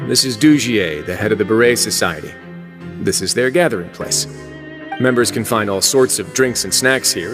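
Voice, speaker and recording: An actor playing a laid-back, wry prison warden in a video game, who speaks calmly with dry, understated humor. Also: male voice, on the low side, natural voice.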